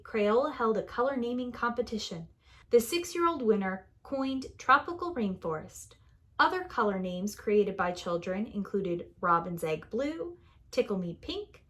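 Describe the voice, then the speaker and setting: A woman reading a picture book aloud like a preschool teacher, in a deliberate, expressive storytelling manner that leans on key words. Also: on the high side. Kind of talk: children's story read-aloud.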